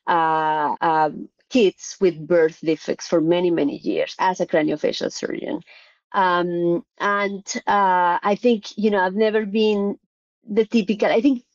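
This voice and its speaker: A middle-aged woman with a Colombian accent answering interview questions, pausing often with fillers and restarts. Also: lowish voice, a little mellow, dull audio. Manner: hesitant, frequent "uh" fillers and restarts. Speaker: surgeon, interview guest.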